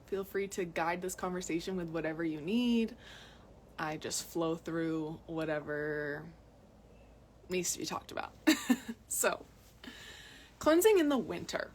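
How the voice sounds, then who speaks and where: A woman's voice: lowish voice, animated, unhurried, long pauses, darkish tone, slight background noise. A young adult woman from Massachusetts, chatting casually to viewers in a live video recorded outdoors on a phone, often repeating words for emphasis. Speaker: health vlogger. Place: outdoors in cold mountains.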